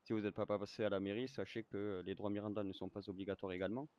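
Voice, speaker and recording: A man's voice: lowish voice, flat delivery, fast talker, a little mellow, muffled recording. A man improvising as a senior police officer in an online role-play game, explaining procedure step by step.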